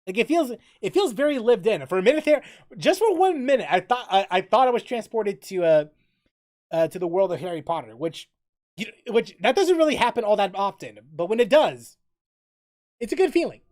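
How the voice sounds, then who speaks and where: High pitched guy streamer rambling casually, voice swings up and down, keeps restarting sentences, music behind him. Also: big pitch swings, a little mellow. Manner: halting, often repeating and rephrasing himself mid-sentence. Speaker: livestream host.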